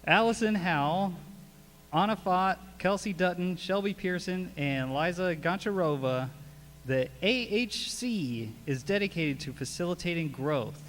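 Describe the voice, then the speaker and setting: A man's voice: high voice, measured pace, fairly bright tone, a little hiss or hum. A man hosting a live meetup event, joking at his own expense and introducing guests on stage. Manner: self-mocking, joking about mispronouncing names. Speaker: event host. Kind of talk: casual live emcee banter.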